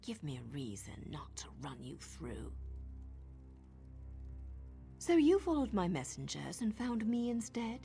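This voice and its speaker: An actress voicing a sly, seductive assassin in a video game, with a cool, teasing and menacing manner. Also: female voice, mid-range voice, big pitch swings, unhurried, gravelly, long pauses, mellow. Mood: cool threat. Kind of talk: video game character dialogue. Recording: music behind the voice.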